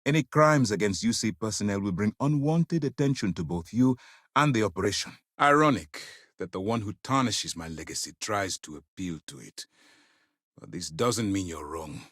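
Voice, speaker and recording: An actor playing a stern, commanding space military commander in a video game, speaking in a formal, measured, authoritative way. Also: male voice, on the low side, no echo, studio quality. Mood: composed, formal. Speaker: fleet commander.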